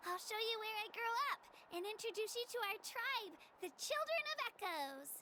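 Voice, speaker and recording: A woman's voice: extremely high pitch, very animated, normal speed, a crowd in the background. A woman voicing an eager, earnest young girl warrior in a game cutscene, sincere and self-effacing. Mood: proud. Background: loud game soundtrack.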